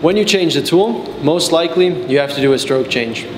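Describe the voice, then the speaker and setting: A man's voice: on the high side, lively intonation. Young man narrating in an echoey space. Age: 20s. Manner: measured, step-by-step explaining. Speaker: technical support and customer trainer. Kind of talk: scripted instructional narration. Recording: echoey, reverberant sound with background music. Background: background music.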